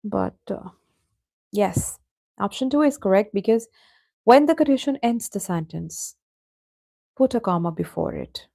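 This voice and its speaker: A woman's voice: medium pitch, expressive, unhurried, vocal fry, long pauses, warm tone, no room sound, clean studio sound. A woman teaching an online English class, patiently going over answers and addressing students by name.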